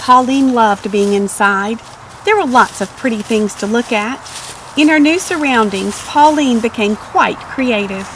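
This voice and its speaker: A woman narrating a children's storybook aloud in an expressive, measured read-aloud style. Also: on the high side, slight background noise, low-quality recording. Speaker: storybook reader.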